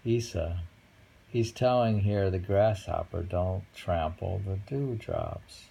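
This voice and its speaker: A man reading short poems aloud and chatting about them casually, musing and pausing over the lines. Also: low voice, big pitch swings, gravelly, warm tone, husky. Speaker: poetry reader and host. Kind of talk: casual poetry reading and chat.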